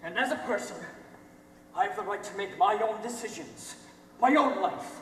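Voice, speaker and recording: A man's voice: sits high, lively intonation, moderate pace. A teenage male actor on stage playing an awkward, honest young celebrity badger boy. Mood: defiant. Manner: hesitant, stammering. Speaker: actor in a high school play. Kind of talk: live amateur stage acting. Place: theater stage filmed from afar. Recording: echoey hall, distant, noisy stage recording.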